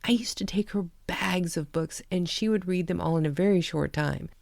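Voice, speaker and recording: A woman's voice: on the low side, moderate pace, creaky, darkish tone, no room sound. A woman hosting her own podcast, talking candidly in a chatty way and laughing at herself. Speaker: podcast host and author. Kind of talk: personal podcast monologue.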